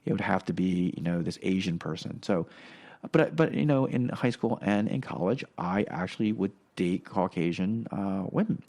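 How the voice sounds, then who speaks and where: A middle-aged man whose first language is Korean, speaking in a fairly low voice. He tells personal stories casually and rambles, with frequent fillers, restarts and small chuckles. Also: expressive, moderate pace, darkish tone. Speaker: podcast guest.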